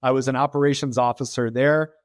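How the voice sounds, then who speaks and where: A middle-aged American man talking through his work as a podcast guest, mid-pitched and easygoing. He explains at length, circling back with fillers and restarts. The sound is close and dry, with little room. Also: steady tone, a little fast, dry recording. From United States. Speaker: podcast guest, freight tech founder. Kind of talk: casual interview talk.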